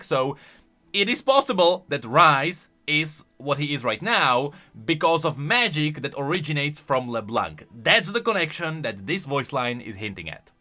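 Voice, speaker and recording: A man delivers casual commentary in a bright, fairly high voice. His pitch swings widely as he thinks aloud and stresses points emphatically. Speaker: YouTube lore commentator. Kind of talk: casual, semi-improvised commentary.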